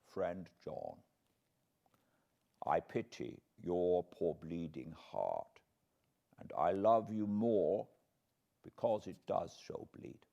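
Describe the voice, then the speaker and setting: A man voicing an elderly foreign professor from a classic novel, solemn and fatherly, with quaint, deliberate phrasing. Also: low voice, sing-song, creaky, mellow, dull audio, full character voice. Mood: tender, sorrowful. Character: elderly foreign male professor, grave, tender, paternal, eccentric.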